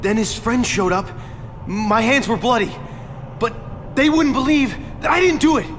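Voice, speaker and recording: An actor playing a nervous male murder suspect in a video game, defensive and hurried. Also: extremely high pitch, expressive, fairly bright tone, slight background noise. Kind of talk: video game cutscene acting.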